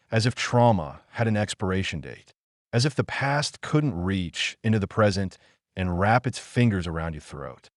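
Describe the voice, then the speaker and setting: Man acting a low-voiced, wounded trauma survivor. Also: no echo, clean studio sound. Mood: bitter anger. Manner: deliberate, emphatic, repetitive phrasing with dramatic pauses. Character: wounded adult male trauma survivor, solemn, defiant, confessional. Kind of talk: dramatic monologue, audio play.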